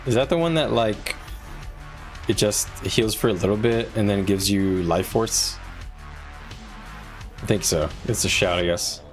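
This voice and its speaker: Low-voiced man chatting on a game stream. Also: measured pace, pauses often. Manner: informal, conversational, explaining advice to viewers. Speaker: game livestreamer. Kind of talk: casual live gaming stream chat. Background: music.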